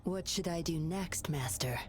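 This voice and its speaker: A woman's voice: on the low side, unhurried, lightly acted. An actress playing a cool, sly, commanding female operative in a video game, with a controlled, taunting, menacing manner. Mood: controlled menace.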